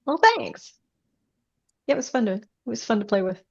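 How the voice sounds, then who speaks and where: A woman author being interviewed, chatting casually and explaining things in a halting, hesitant way. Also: fairly high pitch, creaky, darkish tone, husky. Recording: dull, band-limited audio.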